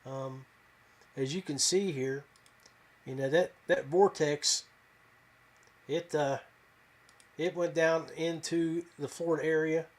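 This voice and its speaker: A man from West Virginia hosting a fishing podcast in a casual, folksy, halting way. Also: on the high side, fairly even intonation. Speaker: podcast host.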